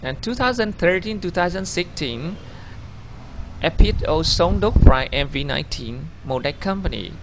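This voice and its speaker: Vietnamese-accented man, 30s, gravelly voice, slightly high, halting self-corrections, faint background hum. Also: animated. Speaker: job applicant. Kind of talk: video CV voice-over over slides.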